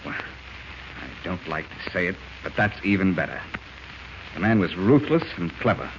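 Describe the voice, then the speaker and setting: An actor playing a formal, earnest, grateful official in a 1940s radio drama, on a muffled, hissy recording. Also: male voice, sits low, sing-song, measured pace. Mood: grave.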